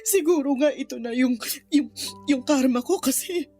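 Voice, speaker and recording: A woman's voice: high voice, moderate pace, warm tone. An actress playing an ashamed, grieving mother in a radio drama, tearfully confessing and blaming herself as she cries. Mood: tearful shame. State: crying. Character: remorseful impoverished mother, a runaway aunt, ashamed and grieving. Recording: music bed under the voice.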